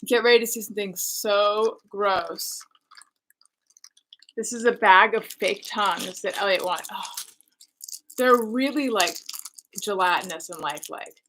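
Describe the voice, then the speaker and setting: A woman with a bright, clear voice chats casually on a home livestream. She reads viewer comments aloud between bits of chatter. Faint background noise sits under her voice. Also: medium pitch, a little slow, long pauses, low-quality recording. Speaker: host.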